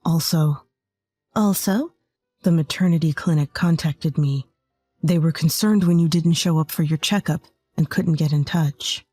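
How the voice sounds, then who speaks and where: A woman voicing a remorseful young husband who speaks softly and apologetically. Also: low voice, a little slow, no room sound, faint music behind, pitched below the performer's own voice. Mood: earnest. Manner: soft, apologetic.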